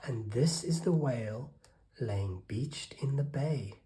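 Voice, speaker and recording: A woman reading a rhyming children's story aloud, expressively and deliberately, with hushed, breathy phrase endings. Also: rumbling low, lively intonation, a little hiss or hum.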